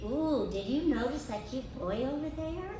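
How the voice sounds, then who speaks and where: A woman's voice: high-pitched, lively intonation, moderate pace. A woman voicing a bubbly, boy-crazy thirteen-year-old girl in an echoey, noisy hall.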